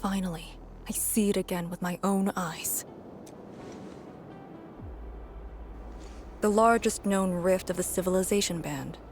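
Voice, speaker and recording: A woman playing a battle-hardened female soldier in a video game, with a grave, measured and earnest delivery. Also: lowish voice, fairly even intonation, a little hiss or hum, lightly acted. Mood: grave, resolute.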